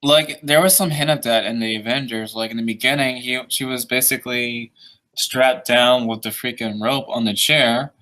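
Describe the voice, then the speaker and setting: A man's voice: mid-range voice, steady tone, normal speed, sharp tone, rough recording. A young man hosting a stream, chatting casually in a joking, laid-back way. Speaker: stream host. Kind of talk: casual chat over a call.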